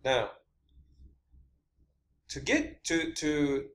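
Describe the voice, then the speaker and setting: A man's voice: fairly high pitch, sing-song, very slow. A man hosting his own video channel, talking off the cuff in an emphatic, explanatory monologue and repeating phrases for stress.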